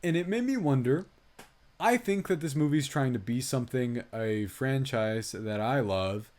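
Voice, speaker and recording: A man's voice: medium pitch, expressive, unhurried, a little mellow. A man co-hosting a film review podcast in a casual, slangy chat, telling things animatedly and quoting reactions in asides.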